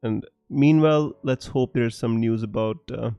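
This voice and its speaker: A young man from Punjab with a blended accent that is hard to place talks loosely through a live stream, reading out comments and restarting his sentences. His voice is warm and mellow, sitting in the middle of the male range. Faint music plays underneath. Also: mid-range voice, normal speed, creaky, clean tone, dry recording. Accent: mixed, hard to place. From Punjab, India. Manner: chatty, frequent fillers and restarts, laughs often. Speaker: fantasy football streamer.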